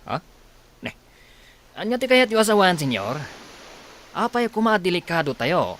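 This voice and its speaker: A man's voice: sits high, sing-song, normal speed, a bit bright. A man playing a hesitant, simple-minded hired man in a dramatized audio story, deferential and questioning, with stammered openings. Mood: confused, deferential. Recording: faint background noise.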